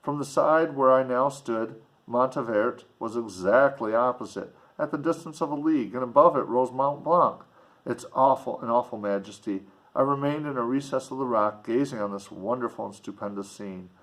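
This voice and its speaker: A man's voice: mid-range voice, normal speed, a bit bright, rough recording. A man reading a classic novel aloud expressively as narrator and commentator. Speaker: reader and commentator. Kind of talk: expressive audiobook narration with casual asides.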